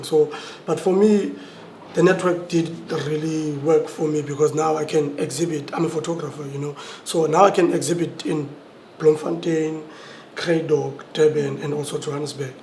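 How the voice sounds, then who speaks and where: A man's voice: fairly high pitch, a little mellow, slight background noise. Casual young man, echoey room. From South Africa. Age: 20s. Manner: loose and casual, with you know fillers and repeated words. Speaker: photographer. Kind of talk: panel discussion.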